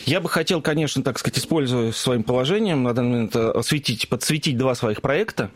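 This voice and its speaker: A man's voice: medium pitch, fairly quick. A man, a producer of Orthodox projects, telling anecdotes as a radio-show guest, with lots of fillers and restarts. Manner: lively storytelling, many fillers and restarts. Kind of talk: anecdotal interview talk.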